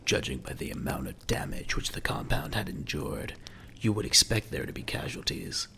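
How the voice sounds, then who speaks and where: A man's voice: very deep voice, very animated, normal speed, creaky, bright, forceful tone, in a whisper. A man narrating a scripted audio drama in a dramatic storytelling style.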